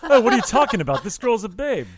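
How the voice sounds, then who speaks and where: A man's voice: very high-pitched, very animated, quick pace, over a noisy crowd, voice pushed up, exaggerated voice. A man voicing a hungry, wisecracking Chicago sports-fan dog in a brash, casual, jokey way for a comic bit. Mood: brash, joking.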